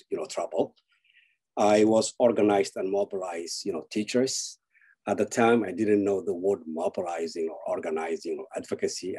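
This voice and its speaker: A man, giving an earnest keynote speech over a video call, halting with frequent fillers. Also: sits low, mellow, husky. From Somalia. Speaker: keynote speaker. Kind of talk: personal speech.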